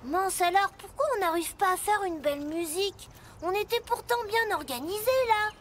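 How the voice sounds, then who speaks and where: An actor voicing an excitable, eager young child mini-truck in a children's cartoon, exclaiming with enthusiasm. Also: very high voice, normal speed, slight background noise. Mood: puzzled.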